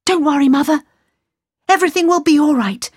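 A woman's voice: high voice, brisk, darkish tone, no echo, clean studio sound, voice pushed up, lightly acted. A woman voicing an eager, commanding young man hero in a storybook reading.

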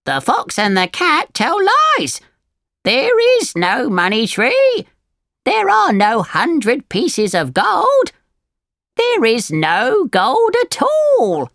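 Storybook parrot: very high, slow, scolding and emphatic. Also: female voice, huge pitch swings, fairly bright tone, dry recording, clean studio sound, exaggerated voice. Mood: mocking, blunt. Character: laughing, scolding talking parrot in a tree. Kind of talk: children's storybook character voice.

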